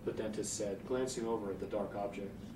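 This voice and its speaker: A man's voice: on the low side, steady tone, a little fast. A man reading scripted story narration as the narrator in a hotel room. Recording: echoey, noisy hotel room, distant.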